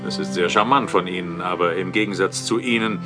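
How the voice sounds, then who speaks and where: An actor playing a gallant Scotland Yard inspector who hunts ghosts, in a German audio horror drama. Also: male voice, low-pitched, fairly quick, over music, lightly acted.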